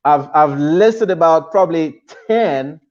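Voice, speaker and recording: A man's voice: a little high, animated, unhurried, a little mellow, highs cut off. A man from the DR Congo hosting a live-stream interview show, talking effusively with lots of restarts and fillers. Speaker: show host.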